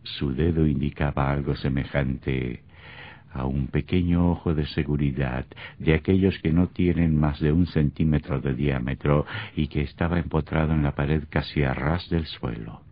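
A man's voice: rumbling low, fairly even intonation, moderate pace, no echo. A male actor narrating a vintage radio horror drama in a grave, ominous, scripted style. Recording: muffled, very noisy old radio recording.

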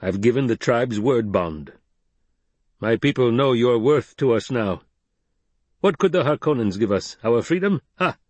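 A man voicing a stern, proud leader of a desert tribe, grave and forceful. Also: medium pitch, expressive, a little slow, no echo.

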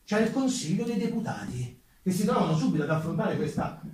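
Man, Roman Italian accent, theatrical storytelling, emphatic delivery, high pitch, echoing stage recording. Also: very animated, a little fast, darkish tone, a little hiss or hum. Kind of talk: theatrical narrative monologue. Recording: roomy stage, mic at a distance.

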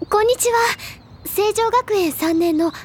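落ち着いた上級生の女子高生を演じる女性声優、アニメ調の音声ドラマの演技。. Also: female voice, very high-pitched, measured pace, background noise. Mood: composed. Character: self-possessed high school senior girl. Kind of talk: anime-style audio drama acting. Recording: music under the voice.

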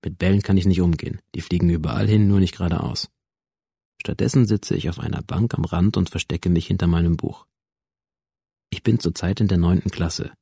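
Low, gravelly deadpan teenage loner. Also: male voice, fairly quick, dry recording, clean studio sound, heavily acted. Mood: detached, dry. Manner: deadpan, laconic. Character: dead teenage school shooter's digital memory copy, detached, sardonic loner. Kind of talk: radio drama, first-person inner narration.

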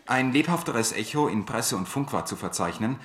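An actor playing a sober, grave radio news commentator, reading formal scripted commentary in a measured way in a radio play. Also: male voice, mid-range voice, expressive, over a noisy crowd, lightly acted. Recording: echoey playback in a crowded room.

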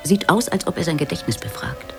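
A man's voice: on the high side, animated, fairly quick, vocal fry, over music. A man narrating a radio play, reading out titles and stage directions in a scripted, theatrical style.

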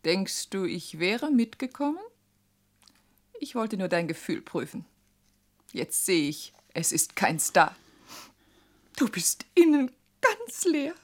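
A woman's voice: mid-range voice, sing-song, slow, long pauses. A woman in a German radio play, playing a sheltered, imperious, lovestruck spinster in an insistent, theatrical way. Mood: wounded, bitter.

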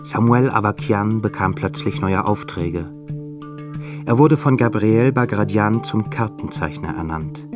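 A man's voice: on the low side, measured pace, over music. A man giving scripted literary narration in a radio drama.

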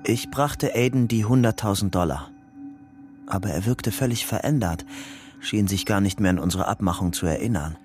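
A man acting a young ex-convict in a radio crime play, speaking and narrating in a fairly low voice. He is guarded, and soft music sits behind him. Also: animated, normal speed, natural voice. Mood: brooding narration.

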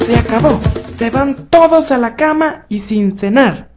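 A woman's voice: a little high, animated, a little slow, darkish tone, faint music behind. A woman voicing a bold, mischievous little boy in a children's storybook radio play. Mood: commanding.

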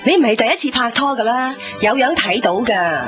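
Stern, animated Hong Kong Cantonese mother played by an actress. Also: fairly high pitch, brisk, lightly acted. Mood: suspicious. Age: middle-aged. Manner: reproachful, firing rhetorical questions. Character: stern, scolding, protective middle-aged mother. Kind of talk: radio drama acting. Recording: dull 1990s radio recording under music.

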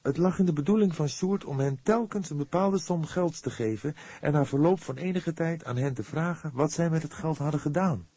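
A male narrator takes on a devout young woman in a detective audiobook. Her voice sits much higher and is pushed louder, with solemn, deliberate phrasing. The sound is dry and close. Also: slightly rough, dry recording. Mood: devout, earnest. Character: devout, earnest, grief-stricken young woman.

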